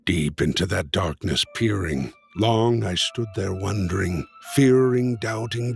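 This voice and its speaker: A man giving a dramatic reading of a poem, with a slow, brooding, theatrical delivery that drops to hushed low passages. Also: gravelly. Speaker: reader.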